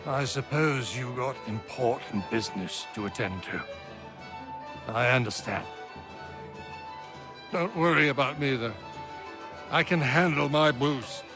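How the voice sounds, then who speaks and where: A man's voice: medium pitch, animated, slow pace, pauses often, over music. An actor playing a plainspoken, principled, hard-drinking frontier man in a video game, with a blunt, folksy manner. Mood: casual.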